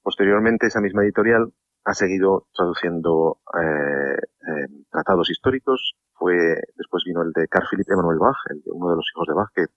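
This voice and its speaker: Hombre de voz grave, habla rápida. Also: male voice, low voice, lively intonation, brisk, no room sound, dull audio. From Spain. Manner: talkative, warm, many restarts and laughs. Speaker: harpsichordist and translator, guest. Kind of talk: relaxed radio interview.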